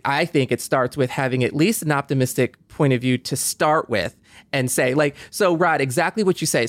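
Higher-pitched man, earnest podcast host. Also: dry recording. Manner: earnest, optimistic, halting with frequent you-knows. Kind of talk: reflective conversational discussion.

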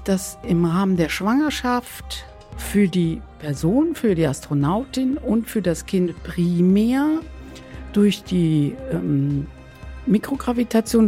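A woman's voice: fairly low pitch, mellow. A woman doctor giving explanatory interview answers as an expert, searching for her phrasing as she goes.

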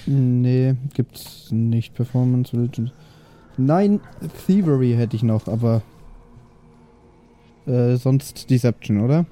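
A man's voice: mid-range voice, takes it slowly, pauses often, dark tone. A man chatting casually as a player in a tabletop role-playing game.

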